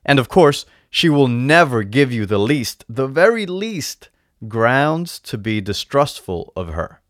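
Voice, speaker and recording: A man's voice: mid-range voice, very animated, unhurried, no room sound, studio quality, voice pushed up. A man voicing a sly old shopkeeper in a storybook tale, patient, persuasive and emphatic, savoring his words. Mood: reassuring. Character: sly old male shopkeeper selling potions, patient and coaxing. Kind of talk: storybook character voice in a read-aloud tale.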